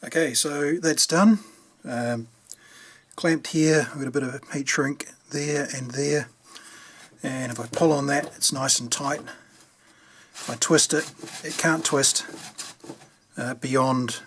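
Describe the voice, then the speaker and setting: A man's voice: mid-range voice, steady tone, takes it slowly, long pauses, a bit bright, slight background noise. A man giving casual hands-on repair commentary, thinking aloud as he works. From New Zealand. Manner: unscripted, thinking aloud while working. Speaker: hobbyist radio repairer presenting a how-to video.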